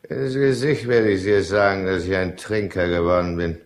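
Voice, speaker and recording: A man playing an alcoholic businessman husband in a German radio drama, brooding, irritable and quick to justify himself. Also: deep voice, fairly even intonation, close to an everyday voice. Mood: indignant, defensive. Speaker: lead character and first-person narrator.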